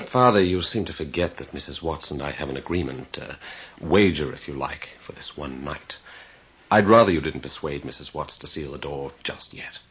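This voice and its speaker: An actor playing a cocky, sceptical gentleman in a radio drama, polite and dry with a husky voice. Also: extremely low pitch, moderate pace, full character voice. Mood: cocky, dismissive. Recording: dull old radio recording.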